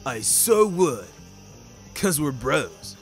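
A man's voice: on the high side, sing-song, extremely slow, faint music behind, voice pushed up, full character voice. A man voicing a big, anxious, grateful young werewolf in an audio story, exclaiming in slangy buddy talk. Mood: earnest, desperate.

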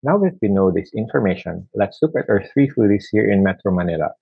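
A man presenting a data science project on a video call, reading at a measured pace. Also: on the low side, animated, creaky, husky, dry recording. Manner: measured reading with small restarts. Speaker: student presenter. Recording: dull lo-fi laptop mic.

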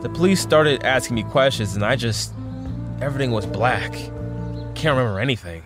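A man's voice: medium pitch, animated, fairly quick, lightly acted. A young man playing an earnest, troubled secret superhero in an audio drama, with a hesitant, pleading delivery. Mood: puzzled. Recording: music behind the voice. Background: music.

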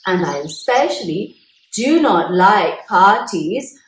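A woman's voice: medium pitch, slow, roomy sound, slight background noise, low-quality recording. A woman voicing a grumpy, stubborn male bear who refuses everything firmly. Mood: stern. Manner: firm, flat refusals.

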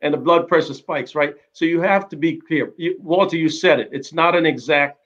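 A middle-aged man on a live video-call panel, explaining in a measured way and checking with "right?". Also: a little high, a bit bright. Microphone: video call webcam. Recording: dull webcam sound.

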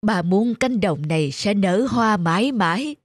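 A woman's voice: medium pitch, animated, a little slow, slightly rough, no echo, clean studio sound. A grieving old bearded father. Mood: tender. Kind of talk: cartoon dubbing.

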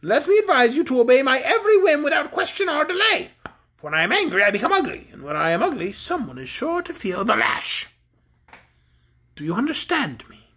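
A woman's voice: sits high, big pitch swings, a little fast, fairly bright tone, husky, voice pushed up, exaggerated voice. A woman voicing a cruel storybook queen, imperious, mocking and haughty. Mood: cheerful menace. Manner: commanding, teasing, haughty. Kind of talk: children's storybook read aloud.

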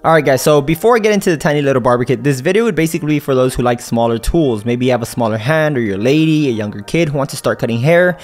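A young barber in his twenties talks through a product review with animated, slangy exclamations. His pitch swings widely as he reacts, and soft background music runs faintly under his voice. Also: fast, hardly any pauses. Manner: animated, exclaiming, slangy ("bro", "what the heck"). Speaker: barber and video host. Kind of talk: excited product unboxing and review. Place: indoor studio set at a table.

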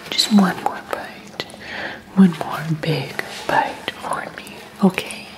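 Casting: woman as doting alien housewife girlfriend, 1950s style, babying and coaxing, whispered at a very slow pace for ASMR roleplay. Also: lowish voice.

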